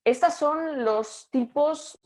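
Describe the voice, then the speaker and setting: A young Catalan woman with a Catalan Spanish accent, giving an online lecture over a video call and speaking haltingly with frequent fillers and restarts. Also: high voice, darkish tone, low-quality recording. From Catalonia, Spain. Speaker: guest lecturer.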